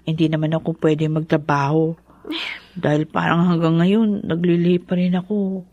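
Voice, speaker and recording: Meek young Filipina wife. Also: female voice, low-pitched, level tone, moderate pace, warm tone, no echo, highs cut off. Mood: casual, guarded. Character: meek, apologetic pregnant young college girl, eager to please. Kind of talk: Filipino radio drama acting.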